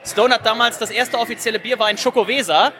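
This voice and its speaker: High-voiced, bright-sounding male interviewer. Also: fairly even intonation, fast talker, faint music behind. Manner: fluent, long enthusiastic questions. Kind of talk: interview questions.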